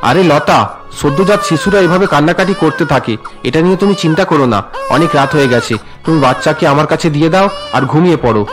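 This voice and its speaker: A man's voice: fairly high pitch, fast, music in the background, close to an everyday voice. A man voicing an earnest, protective young husband and father in a cartoon family melodrama. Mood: caring. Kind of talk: Bengali cartoon melodrama dubbing.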